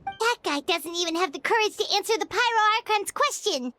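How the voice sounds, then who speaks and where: A woman voicing a small, chatty female fairy companion in a video game, blunt and quick to judge. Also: very high voice, animated, pushed and bright, no room sound, full character voice. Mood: scornful. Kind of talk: game voice acting. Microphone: dry studio mic.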